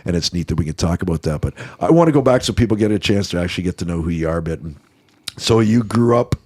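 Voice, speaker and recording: A man co-hosting a podcast, chatty and quick to laugh as he leads a casual interview. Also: low voice, animated, darkish tone, slightly rough, dry recording. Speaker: podcast co-host. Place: studio.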